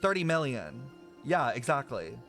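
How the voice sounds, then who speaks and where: A young man streaming a game reaction, thinking out loud with excited speculation and casual swearing. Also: mid-range voice, measured pace, soft background music.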